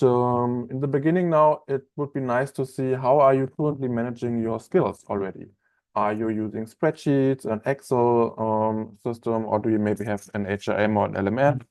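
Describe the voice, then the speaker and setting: A man in his thirties with a German accent, chatting as a trainer in a live online software webinar, with many fillers and restarts. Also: medium pitch, no echo. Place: office room, indoors.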